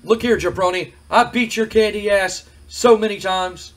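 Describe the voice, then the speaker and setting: A man's voice: high voice, steady tone, moderate pace, voice pushed up, close to an everyday voice. A man acting out a swaggering, insulting male wrestler with emphatic, taunting delivery.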